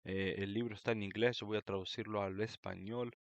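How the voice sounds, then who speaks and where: Low-voiced man, close and dry. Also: steady tone, dry recording, highs cut off. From United States (Florida), Mexican roots. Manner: unhurried, many fillers like "eh" and "este". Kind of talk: casual talk about a history book.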